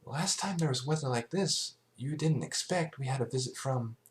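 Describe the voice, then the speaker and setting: A man's voice: mid-range voice, normal speed, pitched above the performer's own voice, natural voice. A man voicing a studious, decisive young female unicorn pony in a story reading, inquisitive and taking charge.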